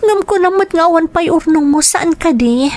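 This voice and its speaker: A woman's voice: very high voice, expressive, normal speed, darkish tone. A woman playing an apologetic, emotional young sweetheart in a dramatized audio story. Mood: hesitant.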